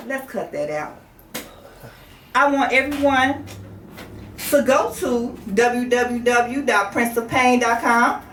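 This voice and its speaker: American female radio host, middle-aged, unhurried deliberate delivery, roomy studio sound. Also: a little high, a bit bright. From Chicago, United States. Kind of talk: casual motivational radio talk. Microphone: studio microphone on desk. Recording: roomy, distant mic, faint background noise.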